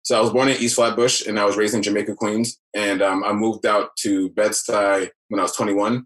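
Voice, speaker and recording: A man in his thirties from New York, talking casually as an interview guest. His voice sits low, and his words ramble loosely, with plenty of "like" and "you know." The room gives his voice a noticeable echo. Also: fairly quick. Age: 30s. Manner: relaxed, filler-heavy, reflective. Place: home room.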